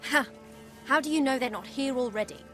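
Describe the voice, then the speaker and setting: Defiant young daughter, highish voice. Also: female voice, fairly quick, pushed and bright, soft background music. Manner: firm, cutting. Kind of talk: video game cutscene acting.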